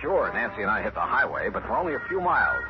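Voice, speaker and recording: An actor playing a shrewd, brisk male insurance investigator who narrates his case in 1950s radio drama style. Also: mid-range voice, very animated, over loud music, close to an everyday voice. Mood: narrating, sly. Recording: noisy, muffled old radio recording.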